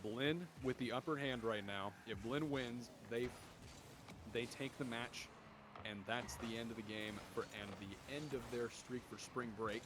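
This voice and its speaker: A young man with a deep, mid-pitched voice rambles casually between calling plays, often restarting his sentences as he reads his stream chat. A steady hiss of noise sits under the home recording. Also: unhurried. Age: young adult. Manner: rambling, chatty, restarts often, bursts louder on goals. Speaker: student esports commentator. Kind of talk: casual solo game commentary and chat reading. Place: home room.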